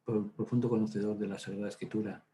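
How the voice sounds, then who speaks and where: A priest lectures on scripture in a low, warm, mellow voice, drifting into casual asides and anecdotes. He talks with frequent hesitations and fillers, like a relaxed teacher working without notes. A faint hiss of background noise sits under the recording. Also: fast. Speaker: priest teaching a Bible workshop. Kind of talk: informal Bible lecture.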